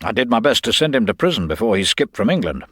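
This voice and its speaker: A male reader takes on a grim police inspector in an audiobook story. He is blunt, forceful and impatient, with lively inflection over a deep base and very little room sound. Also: fast, breathy edge, no room sound, studio quality, exaggerated voice. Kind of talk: dramatised audiobook character dialogue.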